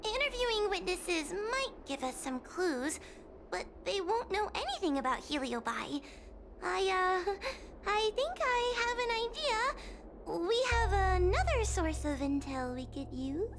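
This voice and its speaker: A woman's voice: very high-pitched, sing-song, a little slow, background noise, full character voice. A woman voicing a timid, nervous young girl exorcist in a game cutscene, hesitant and apologetic as she talks. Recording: game cutscene with music behind.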